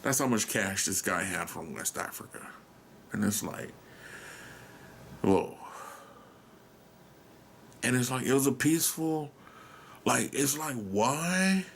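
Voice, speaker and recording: A man's voice: mid-range voice, animated, slow, gravelly, pauses often, a bit bright, a little hiss or hum. An American man rambling through a solo monologue, musing and questioning himself, sometimes dropping to a whisper and swearing casually. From United States. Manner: musing, self-questioning, trails off. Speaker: podcast host.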